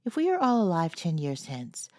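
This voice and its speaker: A woman voicing a bold, boyish teenage girl who is always ready with a plan, brisk and animated. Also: lowish voice, no room sound, studio quality, pitched above the performer's own voice. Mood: eager.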